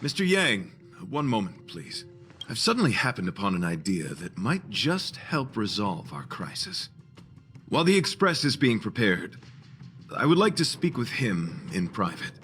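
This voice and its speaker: A man's voice: lowish voice, very animated, normal speed, fairly bright tone, faint music behind. A man voicing an earnest, flowery knight in a video game, formal and pompous. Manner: pompous, formal, archaic wording. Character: courtly, earnest, flowery knight, theatrically noble.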